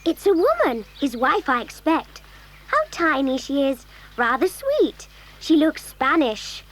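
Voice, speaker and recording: High-pitched, gentle, curious child. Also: female voice, very animated, unhurried. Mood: charmed. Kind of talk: children's audio drama acting.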